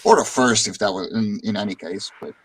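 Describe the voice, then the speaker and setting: A man's voice: fairly low pitch, fairly quick, fairly bright tone, slight background noise, low-quality recording. A man chatting casually as a streamer and comic artist, who often repeats words as he gets a thought going. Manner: rambling, repeats words when starting a thought. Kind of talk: casual chat.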